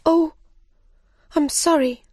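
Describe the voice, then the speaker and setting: A woman's voice: sits high, fairly even intonation, slow pace, a little mellow, dry recording, studio quality, pitched above the performer's own voice. A woman voicing a polite, earnest little girl in a children's storybook, with a light childlike manner. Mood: sorry, wistful. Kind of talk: children's storybook character reading.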